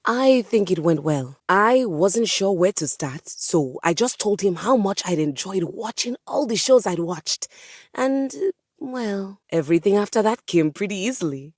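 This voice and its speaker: A woman's voice: lowish voice, a little mellow, no echo, studio quality. A woman voicing a haughty, melodramatic musical instrument with a composer's snobbery in a video game, speaking with theatrical, pompous emphasis. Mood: pleased, hesitant. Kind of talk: video game character voice acting.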